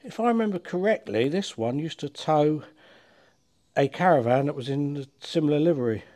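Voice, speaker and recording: A man's voice: fairly high pitch, expressive, moderate pace, warm tone. A middle-aged man with a British accent chatting informally and self-deprecatingly as he works on a car in a workshop. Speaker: car collector and restorer presenting a channel. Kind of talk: casual chat while working on a car. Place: large workshop barn, indoors.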